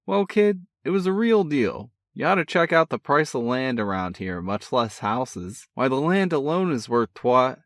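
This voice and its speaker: A man reading a story, voicing a boisterous, excitable older brother who talks loud and folksy. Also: medium pitch, a little slow, few pauses, no room sound, clean studio sound, voice pushed up. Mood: excited. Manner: loud, folksy, keeps calling his brother kid. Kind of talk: audiobook character voice.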